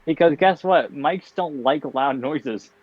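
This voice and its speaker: A young man co-hosting a casual chat show, talking in a tired, flat monotone with bursts of laughter. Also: a little high, slow, no echo. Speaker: podcast co-host. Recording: dull, dry lo-fi home mic.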